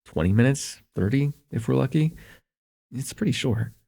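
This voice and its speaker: A man playing a shy, smitten young student in an intimate ASMR roleplay, with an earnest, hesitant delivery and a husky, slightly gravelly voice. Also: mid-range voice, lively intonation, moderate pace. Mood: wistful, earnest. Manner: hesitant, earnest, stammering, chuckling softly between phrases.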